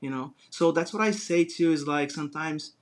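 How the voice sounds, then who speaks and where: A man's voice: a little high, normal speed. A man from Brazil with a Brazilian Portuguese accent, a guest talking casually and rambling with many fillers and restarts. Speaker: guest, design business owner. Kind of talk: casual interview chat.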